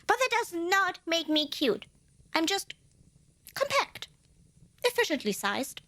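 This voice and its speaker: A woman's voice: extremely high pitch, very animated, slow pace, bright, forceful tone, full character voice. A woman playing a tiny, proud and easily flustered female demon in an ASMR roleplay, boastful and indignant, often hedging and correcting herself. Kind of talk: ASMR roleplay addressed to the listener.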